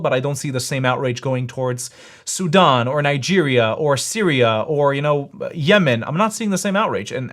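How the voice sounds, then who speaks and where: A man hosting a live stream, reading out super chats and debating in an emphatic, insistent way that repeats his points. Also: mid-range voice. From Israel.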